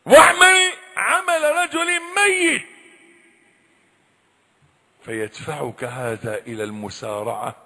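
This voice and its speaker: Man preaching, high lively voice, bright and forceful, loud cries then hushed warnings, long hall reverb. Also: vocal fry, slightly rough. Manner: impassioned, swings between loud cries and hushed low warnings. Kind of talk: sermon.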